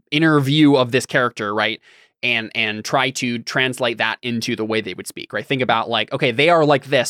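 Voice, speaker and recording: A man's voice: medium pitch, a bit bright, no echo. Casual male podcast host, dry close sound. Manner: informal, explanatory, often checks in with "right?". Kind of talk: casual chat.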